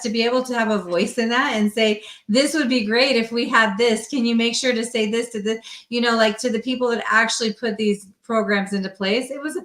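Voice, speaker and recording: Female lending expert, slightly high voice, talkative and emphatic, casual explainer, echoey room sound. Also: fairly bright tone. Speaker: mortgage lender, guest expert.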